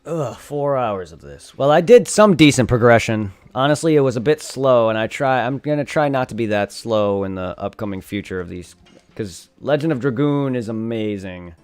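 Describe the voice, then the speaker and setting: A man's voice: medium pitch, expressive, moderate pace, a little mellow, clear, resonant tone. A young man chatting casually on a live game stream, rambling and thanking his viewers. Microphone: desk condenser microphone.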